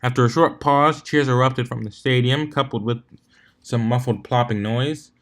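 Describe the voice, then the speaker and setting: A man's voice: medium pitch, steady tone, normal speed. Man reading a story aloud. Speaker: fan fiction reader, narrator. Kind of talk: storybook narration.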